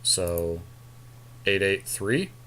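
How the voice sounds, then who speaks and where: A man co-hosting a podcast, chatting casually and informally as he deliberates aloud over a card game draft. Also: low voice, lively intonation, bright, forceful tone, slight background noise, rough recording. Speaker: podcast co-host.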